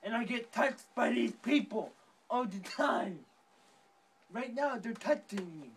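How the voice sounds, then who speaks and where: A man voicing a whiny teenage girl stuck in a wheelchair in an improvised comic skit, talking in a slow, halting, plaintive way. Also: medium pitch, lightly acted. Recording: distant mic with faint background noise.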